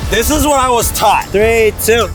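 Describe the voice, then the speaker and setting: A man's voice: extremely high pitch, moderate pace, music in the background. A man on a travel vlog chatting casually and jokingly about learning to surf, outdoors on a beach. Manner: animated, joking storytelling. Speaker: travel vlogger. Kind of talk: casual chat.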